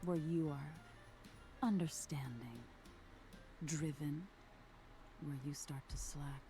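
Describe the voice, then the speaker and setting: A woman voicing an eerie nightmare spirit in a video game, telling a soft, ominous tale in a hushed, whispery voice. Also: sits low, over music. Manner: slow, soothing, ominous storytelling. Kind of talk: game character narration.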